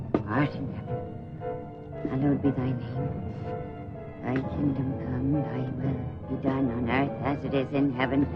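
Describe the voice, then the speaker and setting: An actress playing a defiant, devout older housekeeper with a dramatic, pointed delivery in a radio play. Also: female voice, deep voice. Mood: fearful praying. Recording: dull radio recording with loud music.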